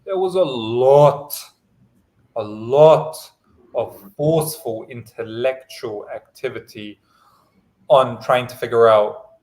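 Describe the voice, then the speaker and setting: Male host whose first language is Pashto, mid-pitched, relaxed and filler-heavy, casual livestream chat. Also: slow. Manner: easygoing, many hesitant fillers.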